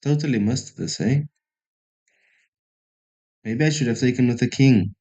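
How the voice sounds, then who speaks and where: A man's voice: medium pitch, measured pace, warm tone, lo-fi sound. A man from South Africa streaming chess, thinking aloud and chatting casually with viewers in a self-deprecating way. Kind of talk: casual live-stream commentary.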